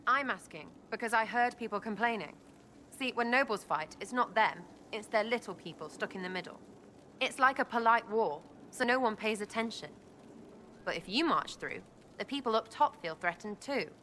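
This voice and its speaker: A cheeky young female elf from a video game with a bright, forceful voice. Her British accent is slangy and irreverent. There is faint ambience behind her. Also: fairly high pitch, lively intonation, moderate pace, a little hiss or hum. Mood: earnest, scheming. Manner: breezy, slangy, impish. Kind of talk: video game dialogue acting.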